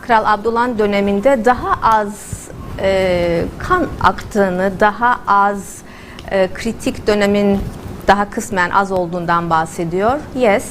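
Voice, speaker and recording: A woman's voice: medium pitch, fairly bright tone. Woman hosting a live tv interview, translating as she goes, lots of uh's, faint hiss behind. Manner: halting, frequent fillers. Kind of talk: live TV interview, switching languages.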